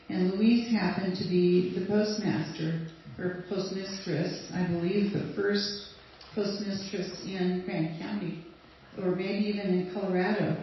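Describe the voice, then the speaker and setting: An older woman from Sweden telling casual, rambling stories to an audience, with fillers and light laughs. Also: medium pitch. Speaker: guest speaker sharing memories. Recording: distant, dull, very roomy recording.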